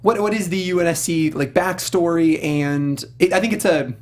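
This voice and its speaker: A man's voice: on the high side, normal speed. A man co-hosting a casual movie review chat, wordy and digressive, cutting himself off with informal, emphatic, sweary phrasing. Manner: verbose, digressive, self-interrupting. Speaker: talk show co-host reviewing video game movies.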